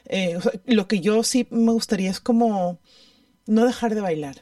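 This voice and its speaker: A woman shares personal reflections at a mid pitch. She feels her way through sentences, pausing, repeating for emphasis and checking in with small question tags. The microphone sits a little away from her, in a dry space. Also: warm tone, no room sound, recorded from a distance. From Ecuador. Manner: halting, thoughtful, emphatic repetition, question tags.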